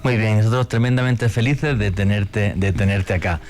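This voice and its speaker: A man's voice: lowish voice, normal speed. A man hosting a casual radio chat, talking with enthusiasm and slang. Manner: enthusiastic, slangy, animated storytelling. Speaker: radio host.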